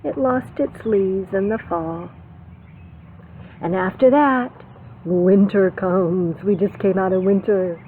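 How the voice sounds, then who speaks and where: A woman reads a picture book to preschoolers in an expressive teacherly way. Her pace is slow and her pitch sits in an ordinary female range, with simple questions for the children. The sound is narrow and phone-like, with faint noise behind her. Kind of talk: storytime read-aloud for young children with simple explanations.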